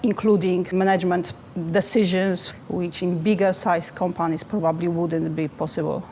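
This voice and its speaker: Flat-voiced Polish-accented woman. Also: lowish voice, slight background noise. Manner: careful, halting, non-native phrasing. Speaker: finance manager. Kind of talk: interview answers.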